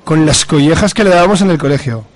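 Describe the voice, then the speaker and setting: Male actor as chummy crude conservative, comic radio serial; rapid, slightly high, jovial. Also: natural voice. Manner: hearty, slangy. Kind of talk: amateur comic radio soap acting.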